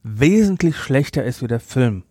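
A man hosting a podcast, giving a casual review in a blunt, emphatic, halting way. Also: medium pitch, very animated, a little mellow, no echo, clean studio sound. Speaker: podcast host.

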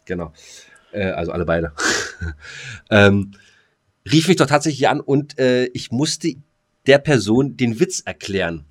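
A man's voice: medium pitch, lively intonation, unhurried, long pauses. A man hosting a podcast in casual comedic chat, rambling and joking and often repeating his own phrases. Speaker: podcast co-host.

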